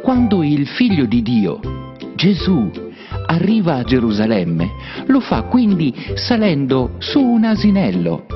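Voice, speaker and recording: A man narrating a children's Bible cartoon as an expressive, explanatory storyteller. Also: mid-range voice, slightly rough. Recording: muffled, reverberant, music behind. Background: background music.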